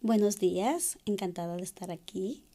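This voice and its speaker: A woman from Ecuador, middle-aged, speaks as an interview guest with a mellow, unhurried tone. She gropes for words, repeats herself and fills the gaps with small hesitations. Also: mid-range voice. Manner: hesitant, searching for words, with many fillers. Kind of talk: personal account in an interview.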